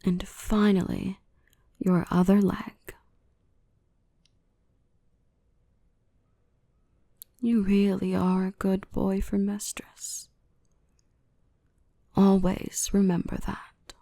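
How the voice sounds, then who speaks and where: A woman playing a gentle, protective dark elf mistress in a soothing, reassuring ASMR roleplay, often whispering. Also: mid-range voice, flat delivery, slow, creaky, pauses often, no room sound, clean studio sound. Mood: tender, praising.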